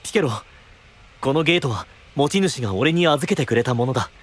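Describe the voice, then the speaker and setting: An actor playing a courteous, gentle young knight in an anime-style audio drama, with an earnest, polite manner. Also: male voice, medium pitch, animated, fairly quick, lightly acted.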